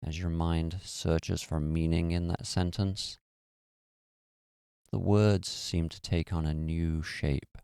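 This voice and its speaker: A man guiding a meditation in a slow, soothing, hushed way. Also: low-pitched, level tone, gravelly, smooth, dry recording, clean studio sound. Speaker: meditation guide. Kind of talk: guided meditation.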